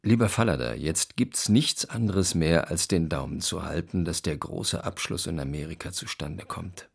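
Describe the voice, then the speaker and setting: An actor playing an upbeat publisher in a radio play, emphatically reporting good business news with a gravelly voice. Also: male voice, low voice, normal speed, full character voice. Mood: triumphant. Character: upbeat male publisher reporting triumphs to his author. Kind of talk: radio play acting, reading out business letters.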